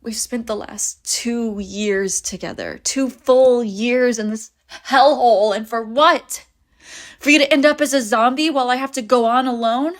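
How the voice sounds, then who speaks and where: A woman's voice: on the high side, measured pace, natural voice. A woman playing a clingy, devoted young female friend in a zombie apocalypse audio roleplay, with an emotional, intimate delivery. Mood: desperate pleading. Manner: emotional, pleading, swinging between insistent and hushed. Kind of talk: scripted audio roleplay acting, intimate and conversational.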